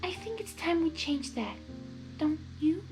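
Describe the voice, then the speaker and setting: A woman voicing a gentle female pony, soft, breathy and high, tender and coaxing yet quietly firm. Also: lively intonation, unhurried, music in the background.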